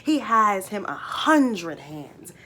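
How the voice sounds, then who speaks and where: A woman plays an old farmer father from a folk tale. He brags and soothes in a folksy rural dialect, taking his time, with big swoops in pitch and a bright, strong tone. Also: sing-song, takes it slowly, exaggerated voice. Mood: boastful, reminiscing.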